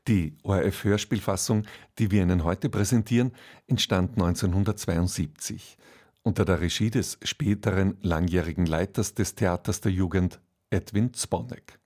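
Casting: male radio presenter for scripted cultural introductions, lowish pitch with a husky edge. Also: moderate pace, darkish tone.

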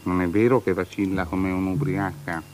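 An actor playing a capricious, superstitious drunken king in a mannered avant-garde radio drama, swinging from mutters to loud declaiming. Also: low voice, level tone, normal speed, natural voice. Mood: drunken, obsessed. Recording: radio drama with music underneath.

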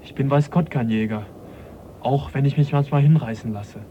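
A dull recording with faint noise carries a man acting a courteous gentleman naturalist in a radio drama. His voice is soft-edged and dark, his manner earnest, polite and doubting. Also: medium pitch, moderate pace, close to an everyday voice. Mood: brooding. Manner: polite, reasoning, hesitant. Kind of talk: German radio play acting.

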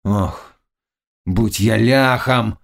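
A man's voice: medium pitch, lively intonation, normal speed, creaky, pitched above the performer's own voice. A man voicing a fierce, lovesick Cossack chieftain in an audiobook, shifting between brooding mutters and anguished cries. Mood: frenzied. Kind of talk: audiobook character dialogue.

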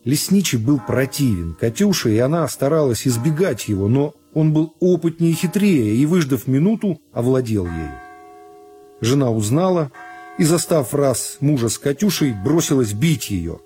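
A man narrating a literary story for a radio drama in a measured, phrase-by-phrase storytelling manner. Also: mid-range voice, sing-song, dark tone. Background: music.

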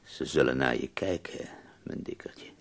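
An actor playing an ailing, bedridden poet in a radio drama, wry and death-weary, speaking theatrically with dramatic pauses. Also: male voice, rumbling low. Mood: indulgent. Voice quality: husky, gravelly.

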